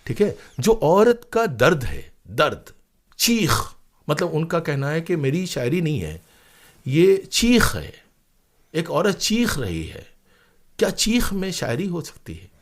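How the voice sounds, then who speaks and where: A man's voice: a little high, very animated, takes it slowly. Earnest man telling stories. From India. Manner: earnest, emphatic, with rhetorical questions and repeated phrases. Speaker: interview guest, playwright and former radio broadcaster. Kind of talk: reflective interview storytelling.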